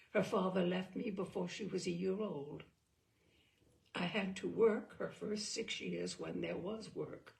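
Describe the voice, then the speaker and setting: Weary, regretful mother performed by a woman for literary storytelling: deliberate, intense delivery, unhurried, with heavy vocal fry. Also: mid-range voice. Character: weary, regretful working mother confiding about her daughter. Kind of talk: dramatic first-person short story reading.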